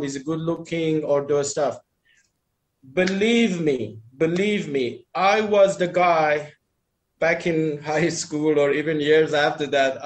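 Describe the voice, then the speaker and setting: A man with a fairly high voice speaks English as a second language. He talks casually in a group chat, offering advice in a rambling, emphatic way and often repeating phrases. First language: not English.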